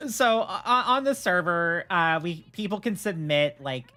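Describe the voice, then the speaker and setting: A woman's voice: fairly low pitch, lively intonation, a little slow, bright, forceful tone, soft background music. A woman chatting casually on a livestream, often stammering and repeating words as she explains things. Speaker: streamer and game server admin.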